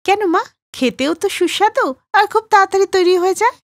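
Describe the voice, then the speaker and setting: A woman voicing a breezy, defensive young daughter-in-law, pert and emphatic, in an animated moral story. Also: very high-pitched, a little fast, no room sound, pitched above the performer's own voice.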